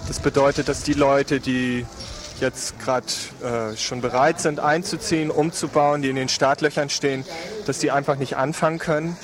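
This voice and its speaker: A man's voice: medium pitch, fairly even intonation, normal speed. Man interviewed outdoors amid crowd noise. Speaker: housing initiative member being interviewed. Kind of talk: spontaneous interview talk. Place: outdoors on a town square.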